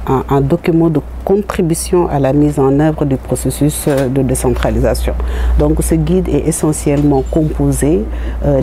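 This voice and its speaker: Mellow, hesitant Senegalese woman, noisy recording. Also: low-pitched. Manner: hesitant, halting, searching for words. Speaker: author and jurist presenting own book. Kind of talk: explanatory promotional interview. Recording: noticeable background noise.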